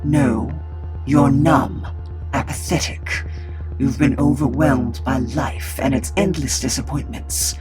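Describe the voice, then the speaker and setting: A man playing a formal, patient, all-knowing confidant with measured, articulate and gently reproachful speech in an audio drama. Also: fairly high pitch, fairly even intonation, soft background music.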